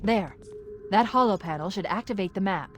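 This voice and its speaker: A woman playing a composed, clever military artificial intelligence in a sci-fi game, speaking in a precise, briskly informative way. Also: mid-range voice, music in the background, lightly acted. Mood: composed, analytical. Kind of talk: scripted sci-fi game acting.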